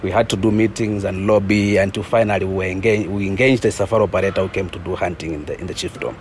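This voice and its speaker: A man with a Zambian accent telling his own story informally, halting and repeating words as he goes. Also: low-pitched, fairly even intonation, slight background noise. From eastern Zambia. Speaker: community conservation leader. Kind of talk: personal storytelling in an interview.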